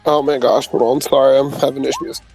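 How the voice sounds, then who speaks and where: A man's voice: mid-range voice, little pitch movement, a little fast, over music, poor audio. A young man playing in a tabletop game, talking hesitantly with many fillers. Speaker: player. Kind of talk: casual tabletop play.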